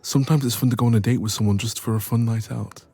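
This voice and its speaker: A man's voice: mid-range voice, steady tone, fast, warm tone, breathy edge. A man playing a bashful, evasive best friend with a secret crush in an intimate romance audio roleplay. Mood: weary, rueful. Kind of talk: romance ASMR roleplay, intimate one-sided conversation.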